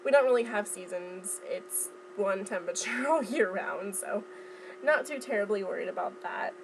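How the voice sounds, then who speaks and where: A woman's voice: mid-range voice, expressive, creaky. Young woman, casual vlog, noisy recording. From Southern California. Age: young adult. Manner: informal, halting as she recalls. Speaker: vlogger. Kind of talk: casual chat vlog, recounting from memory.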